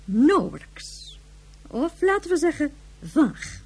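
A high-ranking woman of authority in a radio drama. Her voice is high and swings widely in pitch. She is brisk and formal, with a dry, sardonic edge. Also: big pitch swings, a little mellow, full character voice. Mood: curt, impatient. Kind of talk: Dutch radio drama acting.

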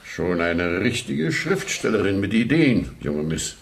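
A determined, jovial police chief in a German radio drama. His low voice creaks with gravel and rises and falls widely. He is blunt and hearty. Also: very animated, unhurried, a little hiss or hum, full character voice. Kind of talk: 1970s German radio crime drama acting.

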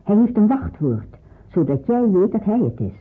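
A middle-aged pastor's wife in a radio drama, caring and practical. Her voice is husky, and she explains things in a reassuring, slightly hesitant way. It sounds muffled, as if down a phone line. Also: mid-range voice, big pitch swings, moderate pace. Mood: briskly explaining. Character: caring, devout pastor's wife aiding people in hiding. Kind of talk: Dutch radio drama acting.

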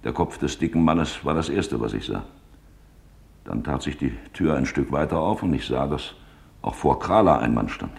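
An actor playing a male office clerk, soberly and deliberately recounting wartime memories in a radio drama. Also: a bass voice, lively intonation, creaky, exaggerated voice. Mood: sober, reflective. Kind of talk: German radio drama witness monologue.

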